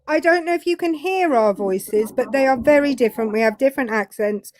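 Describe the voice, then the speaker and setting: Female caller, quite high voice, insistent and sarcastic, slight hiss on the line. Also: a little fast, a little mellow. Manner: insistent, sarcastic, repeats her point. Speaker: guest caller on a livestream. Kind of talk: heated live debate.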